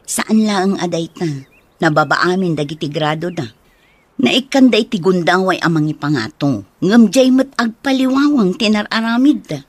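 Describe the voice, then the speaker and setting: A concerned, fair-minded schoolmistress, played by a woman in a radio drama. Her voice is on the low side and noticeably husky. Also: expressive, moderate pace, no echo.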